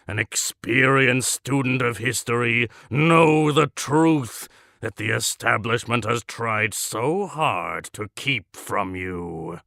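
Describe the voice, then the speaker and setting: A man playing a pompous, deluded letter-writing crank in a comedic monologue, with a gravelly, forceful voice and grandiose, theatrical pauses. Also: on the low side, dry recording, clean studio sound, full character voice. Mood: smug, conspiratorial.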